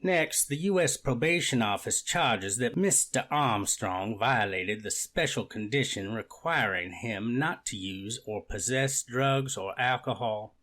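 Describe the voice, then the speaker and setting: A man plays a male prosecutor in a readers theater hearing. His voice sits at a middle pitch, and he recites in a dry, formal, methodical way. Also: a little slow, hardly any pauses, a bit bright. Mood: formal, factual. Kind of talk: readers theater courtroom reenactment.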